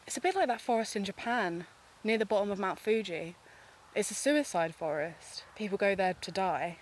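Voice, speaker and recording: An actress plays a chatty woman amateur investigator in an audio drama. Her voice is of average female pitch, playful and inquisitive. A faint ambient noise sits behind it. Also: expressive, normal speed, lightly acted. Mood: descriptive. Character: chatty, curious, playful woman, amateur true-crime podcaster. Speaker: amateur podcaster.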